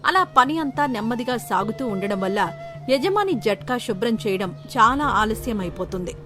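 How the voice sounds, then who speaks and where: A woman's voice: on the high side, big pitch swings, moderate pace, over music. A woman reading scripted story narration as the storyteller.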